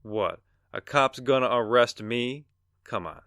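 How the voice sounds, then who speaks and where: An actor playing an arrogant, rich attorney in an audio drama, brash and scornful in manner. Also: male voice, medium pitch, a little slow, a bit bright, clean tone, dry recording, studio quality. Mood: blustering, smug. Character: arrogant, rich, sneering attorney, blustering rival.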